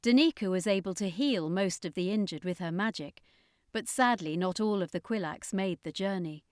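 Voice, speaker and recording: Woman, audiobook narrator, mid-pitched, dry recording, scripted storytelling. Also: normal speed, clean tone, dry recording.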